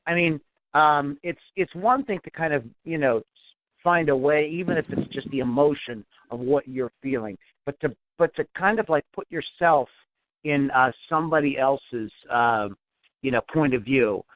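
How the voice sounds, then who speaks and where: A man's voice: on the high side, moderate pace, no room sound. A man hosting a podcast interview, asking long, rambling questions full of restarts. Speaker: podcast host. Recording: tinny phone line, dry.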